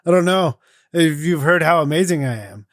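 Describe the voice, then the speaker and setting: A man's voice: on the high side, expressive, normal speed, fairly bright tone, no room sound, clean studio sound. A man voicing a boastful, self-important paladin adventurer for a tabletop game. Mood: pompous, boastful.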